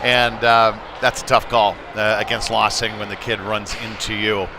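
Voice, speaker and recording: A man doing live play-by-play commentary of youth hockey in a conversational way that can rise into excitement on big plays. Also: on the low side, normal speed. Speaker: play-by-play sports broadcaster. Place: ice rink, live broadcast. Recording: noisy arena ambience behind the voice.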